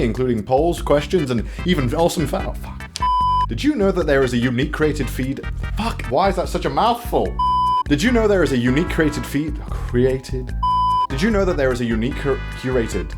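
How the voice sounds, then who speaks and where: A man stumbling through retakes of a scripted promo, swearing in frustration as he goes. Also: medium pitch. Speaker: online video creator.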